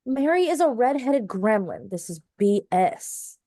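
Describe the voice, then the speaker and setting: A woman's voice: on the high side, big pitch swings, unhurried, mellow. A woman playing a catty, snide young Southern belle beauty queen at a script table read. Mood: bitter. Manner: snide, two-faced.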